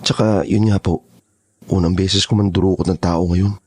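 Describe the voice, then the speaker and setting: A man's voice: sits low, steady tone, normal speed, a little mellow, slightly rough. A man playing a nervous young rookie kidnapper in an audio horror drama, hesitant and deferential. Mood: defensive, hesitant.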